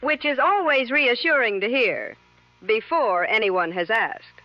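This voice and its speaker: An actress playing a composed 1960s radio co-host who speaks with deadpan, wry understatement. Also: female voice, on the high side, a little slow, dry recording, muffled recording. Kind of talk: 1960s radio broadcast acting.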